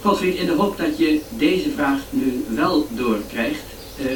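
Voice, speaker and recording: A man's voice: on the high side, lively intonation, measured pace. A man hosting a live broadcast interview, putting questions over a two-way radio link. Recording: echoey, distant, noisy radio recording with clicks.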